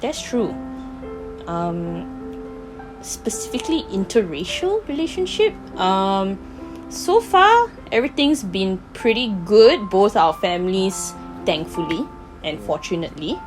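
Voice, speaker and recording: Soft-spoken woman, casual answers. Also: medium pitch, big pitch swings, unhurried, over music, low-quality recording. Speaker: interviewee.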